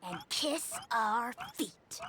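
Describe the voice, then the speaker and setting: A woman voicing a small, boastful dragon lord in a game cutscene, shouting bombastic threats. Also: a little high, expressive, drawn-out words, a crowd in the background. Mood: mocking.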